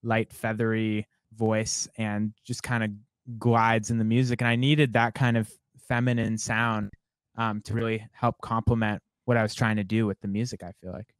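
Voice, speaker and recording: A young man, a songwriter guest, talking in a relaxed and reflective way, rambling with many fillers. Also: lowish voice, normal speed, clean tone, no echo. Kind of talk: casual interview conversation.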